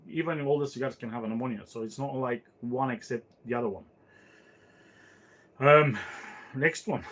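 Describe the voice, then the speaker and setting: Man, podcast host, casual chat, fillers and restarts, mid-pitched voice. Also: a bit bright. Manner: thoughtful, meandering, with frequent fillers and restarts. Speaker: podcast host answering listener questions.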